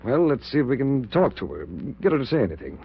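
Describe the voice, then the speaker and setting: An actor in a 1940s radio horror play, playing a sensible, concerned doctor friend who reasons and coaxes. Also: male voice, medium pitch, big pitch swings, fast talker, vocal fry, breathy edge, exaggerated voice. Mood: coaxing, calm. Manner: reasoning, coaxing, avuncular. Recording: muffled 1940s radio recording with hum.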